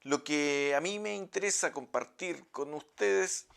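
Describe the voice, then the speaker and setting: A man's voice: on the high side, measured pace, gravelly, a bit bright. A middle-aged Chilean man with a Chilean accent, a journalism professor giving a recorded lecture from his office, explaining and posing questions to his audience. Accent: Chilean Spanish.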